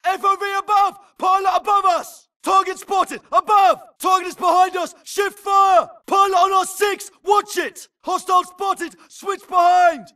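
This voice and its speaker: A man's voice: very high voice, flat delivery, unhurried, hardly any pauses, bright, forceful tone, shouting, distant mic, exaggerated voice. A male actor playing an aggressive infantry soldier in a shooter game, barking urgent, clipped military callouts. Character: frantic, aggressive infantry soldier under fire, adult man.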